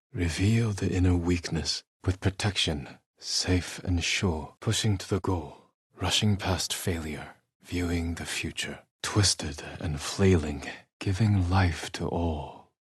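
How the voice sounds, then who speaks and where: A reflective, honor-bound samurai from a video game. His voice is low and heavily husky, close and dry. He speaks in hushed, deliberate phrases, as if reciting poetry, with grave restraint. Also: no room sound, heavily acted. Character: solemn, reflective male samurai warrior, stoic and honor-bound. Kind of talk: measured poetic recitation, video game acting.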